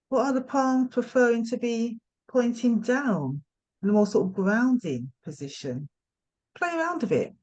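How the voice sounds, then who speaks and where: Woman gently guiding a meditation. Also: mid-range voice, low-quality recording. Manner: gentle, nurturing, unhurried invitations. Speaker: yoga nidra meditation guide. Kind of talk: guided meditation instruction.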